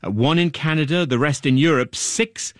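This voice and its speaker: A man presenting the news and interviewing guests with brisk, crisp questions. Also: on the high side, dry recording, studio quality. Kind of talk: broadcast interviewing.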